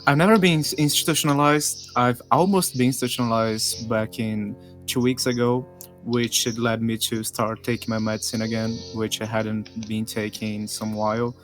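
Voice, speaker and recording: A nineteen-year-old man from Brazil, a Portuguese speaker talking English in a halting way with many restarts. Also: mid-range voice, fairly even intonation, soft background music. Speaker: guest. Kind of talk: casual online group chat.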